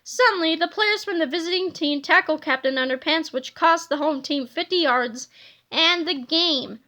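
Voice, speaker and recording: A woman narrating a children's storybook aloud in an expressive, scripted reading style. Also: extremely high pitch, moderate pace.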